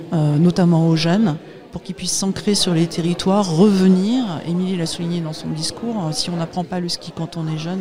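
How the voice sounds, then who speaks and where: A middle-aged woman from Paris with a French accent from France, giving candid, informal, affirming answers as a local politician in a conversational interview. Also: lowish voice. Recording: live event with crowd behind. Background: crowd chatter.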